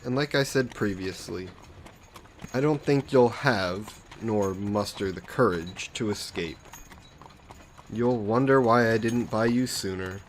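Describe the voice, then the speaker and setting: A man's voice: on the low side, unhurried, slight background noise, close to an everyday voice. A man playing an aristocratic vampire lord in an audio roleplay, suave, smug and condescending, with a genteel, coaxing, possessive manner. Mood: smug, reassuring. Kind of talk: scripted roleplay audio drama monologue.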